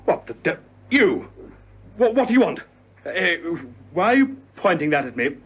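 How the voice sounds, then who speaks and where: A frightened traitor in a spy radio play, stammering and pleading. His voice is raised and raspy, on a dull old recording. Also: high voice, sing-song, moderate pace, heavily acted. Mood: panicked. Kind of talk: spy radio drama acting.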